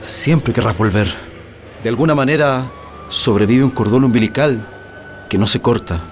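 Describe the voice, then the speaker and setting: A man playing an earnest political prisoner in a radio drama, with a Chilean accent and halting speech. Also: mid-range voice, soft background music, close to an everyday voice. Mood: weary, reflective. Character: earnest male political prisoner plotting an escape.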